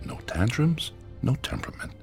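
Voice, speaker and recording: An actor playing a cautious, authoritative hospital doctor in a radio drama, formal and measured in manner. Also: male voice, medium pitch, wildly swooping pitch, soft background music. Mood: measured, reassuring.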